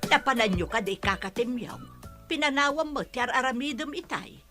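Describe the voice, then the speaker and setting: A woman's voice: high-pitched, animated, moderate pace, music in the background. A woman playing a reproachful member of the household who scolds a worker. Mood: scolding.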